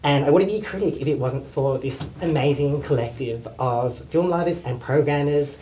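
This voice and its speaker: A woman giving a soft-spoken, halting award acceptance speech with many fillers. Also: very deep voice, a little hiss or hum. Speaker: award recipient. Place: event venue, large room. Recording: echoey room recording.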